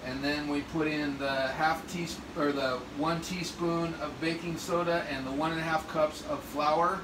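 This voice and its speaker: A man's voice: on the high side, steady tone, moderate pace. A middle-aged man walking through a recipe in his home kitchen, rambling a little as he explains each step. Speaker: home cook. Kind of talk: casual cooking demo. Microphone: phone camera across the room. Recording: echoey kitchen, distant phone mic, hum.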